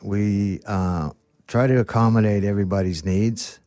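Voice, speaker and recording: A man's voice: lowish voice, steady tone, moderate pace, mellow, no echo, clean studio sound. A middle-aged man gives plain-spoken answers as a guest in a radio interview. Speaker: radio guest, store manager.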